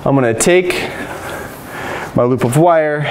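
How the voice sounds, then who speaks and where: A man's voice: on the high side, a little slow. American tradesman teaching, with noisy room ambience. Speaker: electrician presenting a how-to tutorial. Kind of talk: informal hands-on instruction. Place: unfinished basement with exposed joists.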